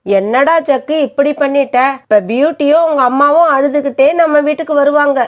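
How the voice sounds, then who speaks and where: A woman's voice: high voice, brisk, close to an everyday voice. A woman voicing an indulgent, coy mother bird in a children's cartoon. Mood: worried. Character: feared mother bird, indulgent and easily flattered.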